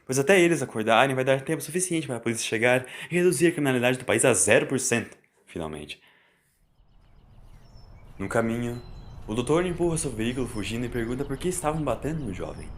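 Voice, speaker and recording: A man's voice: medium pitch, expressive, fast. A man with a Brazilian accent narrating a scripted audio story. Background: music.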